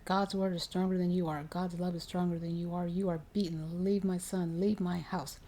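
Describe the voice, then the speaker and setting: A woman's voice: fairly low pitch, little pitch movement, brisk, dark tone, slight background noise, lightly acted. A woman voicing a fierce, defiant mother in a horror storybook, speaking with emphatic command.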